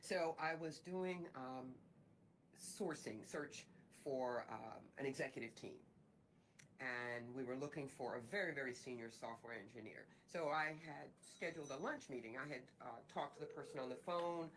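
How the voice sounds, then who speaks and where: Woman recruiter, low strong voice, reflective halting anecdote, faint ambient hiss. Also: rough recording. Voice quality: fairly strong voice. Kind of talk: personal anecdote in a training video.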